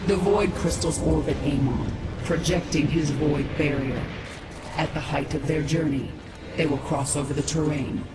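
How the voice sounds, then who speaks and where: An actress playing an ascended godlike alien woman in a video game, solemn, resolute and measured, with an otherworldly effect on the voice. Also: deep voice, fairly even intonation, very noisy. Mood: grave. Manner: measured, grave, portentous. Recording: echoing, distant, loud music behind.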